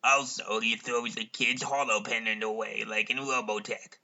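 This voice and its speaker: A man delivering scripted parody narration in a deadpan way, with rambling asides. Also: fairly low pitch, normal speed, creaky, dull audio.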